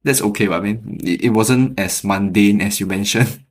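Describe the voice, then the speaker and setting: Singaporean English male host, lowish voice, chatty and easygoing, laughs often. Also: normal speed. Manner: relaxed, chatty, laughs easily, repeats words. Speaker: podcast host. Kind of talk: casual interview chat.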